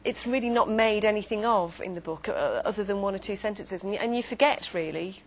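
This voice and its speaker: A woman's voice: mid-range voice, brisk. A woman giving considered opinions in a conversational book discussion as a panelist.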